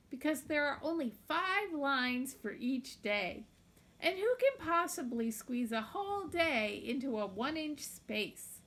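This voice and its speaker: A woman with a fairly high voice reads a children's novel aloud. She narrates expressively, telling the story in the first person as its young heroine. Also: fairly bright tone.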